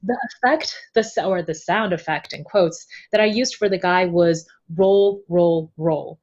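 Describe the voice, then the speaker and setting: A woman being interviewed about her translation work, animated and expressive, laughing often as she tells stories. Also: lowish voice, a little slow. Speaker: guest translator. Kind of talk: casual interview chat. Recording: slightly dull lo-fi sound.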